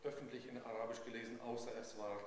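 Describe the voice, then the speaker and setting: A man's voice: medium pitch, level tone, quick pace. A man interpreting a sermon live, phrase by phrase, as a preacher's consecutive interpreter. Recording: noisy echoey hall with long reverb.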